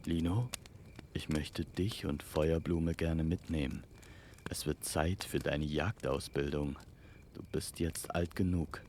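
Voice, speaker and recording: A man voicing a father who hunts, measured and dryly authoritative yet indulgent, in a storybook audio play. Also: extremely low pitch, fairly even intonation, darkish tone, a little hiss or hum. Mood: firm, expectant. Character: gruff but indulgent father, a hunter.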